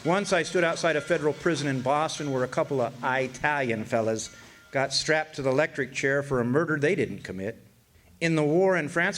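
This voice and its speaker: Radio play narrator: a man as a weathered, folksy older drifter, reflective and halting, mid-range voice. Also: mid-range voice, close to an everyday voice. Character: world-weary older male hobo narrator, reflective war veteran. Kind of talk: radio drama narration, reminiscing.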